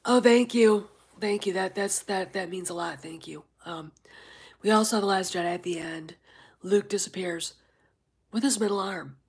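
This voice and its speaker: Husky, congested woman rambling to chat. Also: mid-range voice, moderate pace. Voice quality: very husky, often near a whisper. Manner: rambling, many fillers, greets chat viewers. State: congested, stuffed-up nose. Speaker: livestream host. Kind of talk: casual livestream chat.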